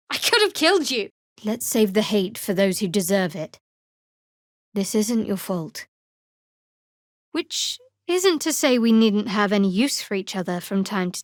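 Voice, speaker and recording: A woman's voice: a little high, no echo. An actress voicing a guarded, sardonic young female cleric in a video game, with a dry, wry manner. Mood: sharp, forceful. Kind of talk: video game character voice acting.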